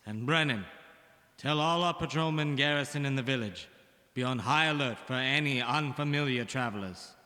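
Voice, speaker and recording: A man's voice: medium pitch, normal speed, pushed and bright, big-room sound, lightly acted. An actor playing a grieving king who gives orders in a solemn, commanding way. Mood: grave. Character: grieving middle-aged king, stern and commanding.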